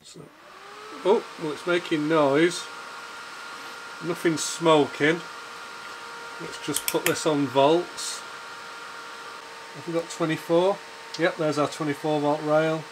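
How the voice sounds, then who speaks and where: Croaky guy talking thru a repair on his bench, thinks out loud. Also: fairly high pitch, steady tone, slow, long pauses, noisy background. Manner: chatty, thinking aloud while working. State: croaky voice from a cold. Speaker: repair video host. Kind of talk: casual repair commentary. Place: home workshop bench.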